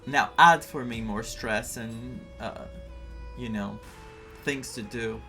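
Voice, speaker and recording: A man giving casual, reactive playthrough commentary, musing in a halting way as he goes. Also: mid-range voice, bright, forceful tone, faint music behind. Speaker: gaming streamer.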